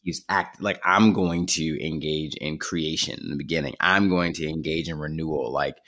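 A man's voice: very deep voice, steady tone, normal speed, fairly bright tone. A man hosting a thoughtful discussion. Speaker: host.

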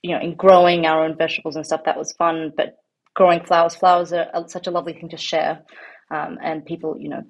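A woman's voice: deep voice, level tone, fast talker, dull audio. An Australian woman in her thirties, talking casually as an interview guest, rambling with many ums and restarts. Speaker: guest, home farmer.

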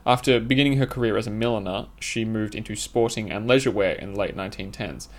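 A man's voice: lowish voice, fast. A man reading his own scripted essay aloud, in a formal, literary and opinionated manner.

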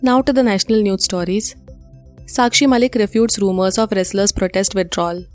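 A woman's voice: mid-range voice, steady tone, fairly quick, darkish tone, soft background music. A woman with an Indian accent presents scripted news updates as a newsreader.

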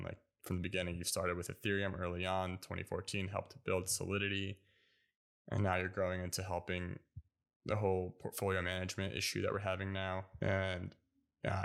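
A man's voice: deep voice, vocal fry, fairly bright tone. A man from the United States hosting a casual interview, asking questions and encouraging his guest in a friendly way. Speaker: interviewer, host.

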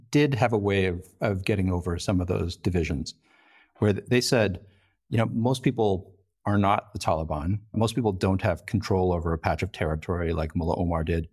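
A man's voice: sits low, darkish tone, breathy edge. A man giving a conversational expert explanation as an interview guest, articulate but often repeating words as he goes. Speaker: interview guest, foreign correspondent and lecturer.

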